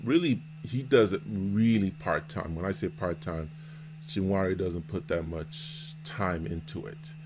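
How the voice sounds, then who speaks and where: Guy with a deep voice doing a laid out casual interview, kinda halting, bit of background noise. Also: a little mellow. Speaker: host, Amazon seller and mentor.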